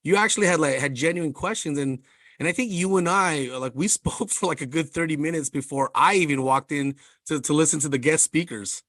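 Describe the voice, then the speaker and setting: A man co-hosting a podcast, talking in an energetic, casual way full of "man" and "like". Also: on the high side, a little fast, no echo. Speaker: podcast co-host. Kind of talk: casual interview chat.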